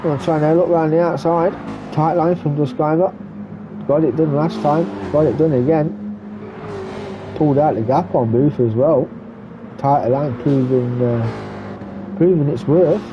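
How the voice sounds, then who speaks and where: A man giving relaxed running commentary on a sim race, stuffy and muffled from a cold. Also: fairly high pitch, a little slow, long pauses. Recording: muffled lo-fi recording with background noise.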